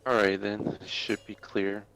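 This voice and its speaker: A man's voice: lowish voice, little pitch movement, slow pace, a bit bright, slight background noise, rough recording. A man giving his opinion in a casual group chat, hesitant and often restarting his phrases. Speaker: podcast participant giving opinions.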